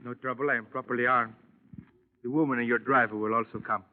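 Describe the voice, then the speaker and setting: A man playing a polite, bumbling henchman in a 1950 radio drama, halting and overly formal, on an old recording. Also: medium pitch, steady tone, narrow, tinny audio, natural voice. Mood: politely firm. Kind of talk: 1950s radio drama acting.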